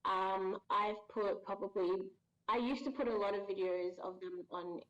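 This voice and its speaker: A woman in her thirties, a voice actress, answering interview questions thoughtfully with many pauses and restarts. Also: mid-range voice, steady tone, dull audio. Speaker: voice actress guest.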